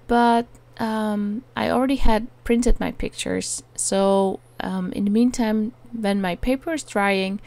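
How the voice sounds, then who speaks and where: A Dutch woman with a Dutch accent, talking through a crafting tutorial in a hesitant way, often pausing with "um" while she searches for words. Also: mid-range voice, creaky, a little mellow. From Netherlands. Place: home attic.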